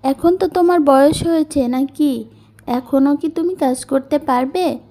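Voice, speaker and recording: A young village girl who works as a maid, voiced by a woman in a cartoon moral tale. She is devoted, earnest and polite, with a high, mellow voice. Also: measured pace. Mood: firm, caring.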